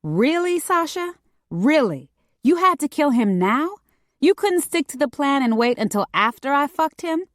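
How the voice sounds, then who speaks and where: A woman's voice: mid-range voice, very animated, measured pace, dry recording, clean studio sound, voice pushed up. A woman voicing a brash, overdramatic, jealous young woman assassin with a petulant, profane manner in an audiobook. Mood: annoyed, jealous. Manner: overly dramatic, petulant, profane. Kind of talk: audiobook character dialogue.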